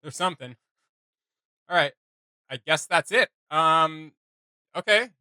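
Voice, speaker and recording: A man's voice: a little high, expressive, slow pace, sharp tone, clean tone, no room sound, studio quality. A man giving casual, joking reaction commentary as a video host, in an informal way.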